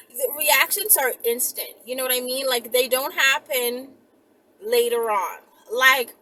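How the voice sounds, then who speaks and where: A woman's voice: sits high, sharp tone, slight background noise, poor audio. A woman video host chatting casually and rambling to viewers in a blunt, emphatic, profane, conversational way.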